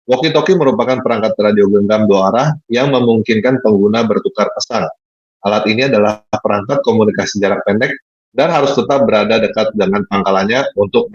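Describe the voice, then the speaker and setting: A man with a low, husky voice reads scripted news as narrator. The recording is dull and lo-fi, with some room echo.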